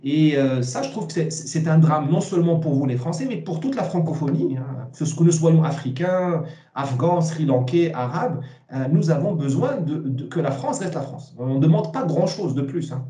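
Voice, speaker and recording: A man, giving emphatic, opinionated interview answers with repeated words and halting starts. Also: a little high, expressive, mellow, roomy sound. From Morocco. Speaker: interview guest, essayist.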